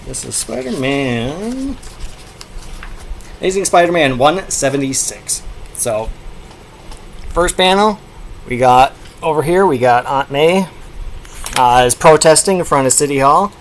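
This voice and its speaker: Middle-aged male review host, playful and casual, lively swings in pitch, faint noise behind the voice. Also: unhurried, pauses often. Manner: playful, animated, breaking into shouted sound effects. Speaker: comic book review show host.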